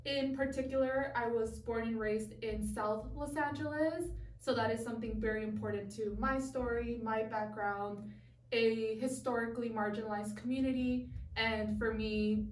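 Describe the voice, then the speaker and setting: A woman's voice: a little high, steady tone, moderate pace, distant mic, slight background noise. A young adult woman from Southern California, a guest talking earnestly in an interview and repeating words as she thinks. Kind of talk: interview conversation. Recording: echoey room, voice from off mic.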